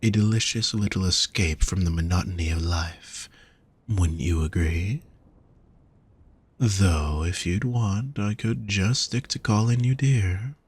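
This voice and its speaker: Man voicing a slow, deep, gentle teasing demon. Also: breathy edge, heavily acted. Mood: courteous, inviting. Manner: slow, teasing, genteel endearments. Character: gentle, teasing, courteous male demon companion. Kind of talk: roleplay audio acting addressed to the listener.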